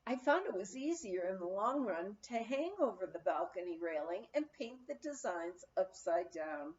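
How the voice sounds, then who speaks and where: A woman reading a memoir aloud as scripted narration, in a measured storytelling manner with deliberate pauses. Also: medium pitch, animated, muffled recording. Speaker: audiobook reader.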